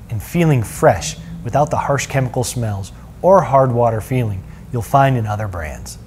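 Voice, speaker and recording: A man's voice: medium pitch, a little mellow, a little hiss or hum. A man in his forties presenting a product in a polished, upbeat sales manner, speaking from a showroom on a lapel mic. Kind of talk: scripted product walkthrough. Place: spa showroom with large windows.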